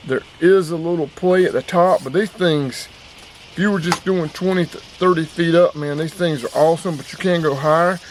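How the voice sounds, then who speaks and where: A man's voice: on the high side, rough recording. A man giving a casual, plainspoken vlog demonstration outdoors, with emphatic repetition. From North Carolina, US. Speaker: outdoors and radio hobby vlogger. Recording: clear background ambient noise.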